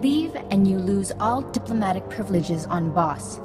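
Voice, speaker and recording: A stern alien judge, played by a woman, handing down rulings in clipped, formal phrases. Her voice is mid-height with game music clearly underneath. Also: mid-range voice, lively intonation, normal speed, close to an everyday voice.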